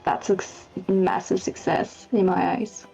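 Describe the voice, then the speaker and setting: A woman's voice: on the low side, level tone, a little slow, creaky, warm tone. A woman game developer chatting casually in a Q&A, hesitant as she thinks aloud, with dull lo-fi sound. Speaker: game developer, project lead and writer. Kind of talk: casual Q&A chat. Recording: dull lo-fi sound, faint music.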